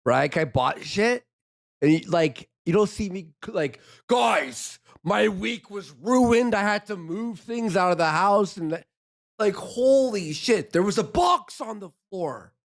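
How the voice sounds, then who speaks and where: High animated man laughing and mocking. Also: measured pace. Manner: laughs often, mimics the streamer in an exaggerated way. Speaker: co-host. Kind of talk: mocking commentary on a stream.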